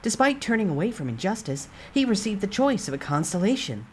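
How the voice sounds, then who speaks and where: A woman voicing an impersonal game-like system announcer, formally reading out stats in measured phrases. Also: medium pitch, big pitch swings, a little mellow, a little hiss or hum, close to an everyday voice.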